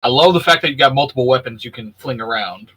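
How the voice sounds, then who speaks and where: A man's voice: medium pitch, quick pace, sharp tone, breathy edge, low-quality recording. A man co-hosting a casual chat, chipping in with short, informal reactions and opinions. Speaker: co-host.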